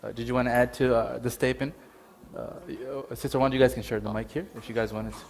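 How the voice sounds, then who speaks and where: A man moderating a panel discussion, affirming speakers with quick interjections and passing the mic around. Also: mid-range voice, fairly even intonation, vocal fry.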